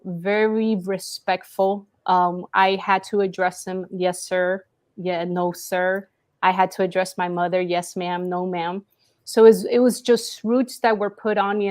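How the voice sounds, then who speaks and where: Mid-pitched woman from Colombia, earnest. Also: darkish tone. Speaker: guest, marketing company CEO. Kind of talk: personal story told in interview.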